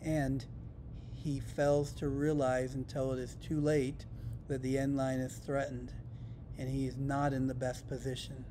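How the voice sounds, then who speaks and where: A man's voice: little pitch movement, a little slow, warm tone. An American man reading scripted instructional narration for a training video in a matter-of-fact way. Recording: rumbling background noise.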